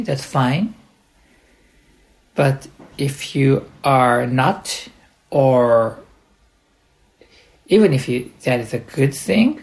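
A man with a Japanese accent, a coach hosting an instructional podcast, speaking deliberately in short, halting phrases. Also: mid-range voice, gravelly, rough recording. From Japan, partly raised in the United States.

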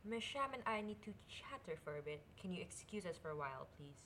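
A woman's voice: lowish voice, expressive, brisk, fairly bright tone, a little hiss or hum. A woman playing a curious, restless young princess and affectionate sister in an audio drama. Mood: sly, urgent.

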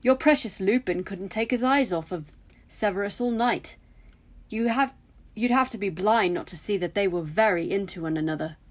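A woman's voice: medium pitch, moderate pace, lightly acted. A smug young wizard lad from a storybook, played by a woman reading aloud. He drawls his words in a snide, needling way, teasing and self-satisfied.

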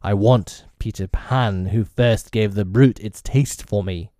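A man voicing a proud, brooding, vengeful pirate captain from a storybook, with slow, drawn-out, dramatic delivery. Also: deep voice, animated, mellow, dry recording, studio quality. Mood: petulant.

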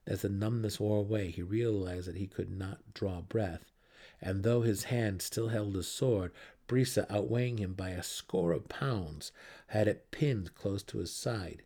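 A man reading a fantasy audiobook as a dramatic narrator, with theatrical, deliberate emphasis. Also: lowish voice, warm tone.

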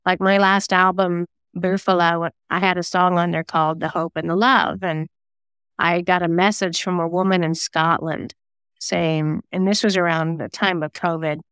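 A woman talks as a guest in a conversational interview. Her voice sits a little low and is smooth and clean. She explains things at length with informal asides, in a dry recording with no room sound. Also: flat delivery, normal speed, a bit bright, dry recording. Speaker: guest, singer-songwriter and self-published novelist.

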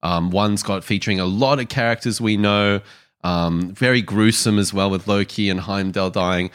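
A man's voice: on the low side, steady tone, moderate pace, fairly bright tone, clear, resonant tone. A man co-hosting a casual film review podcast, talking analytically and feeling his way to his phrasing.

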